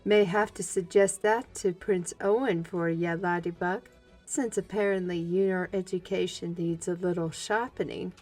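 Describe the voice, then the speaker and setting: A woman playing a learned, gracious half-animal former slave in a fantasy audio drama, speaking in a measured, formal way. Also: fairly low pitch, fairly even intonation, a little mellow. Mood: teasing, polite. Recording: background music under the voice.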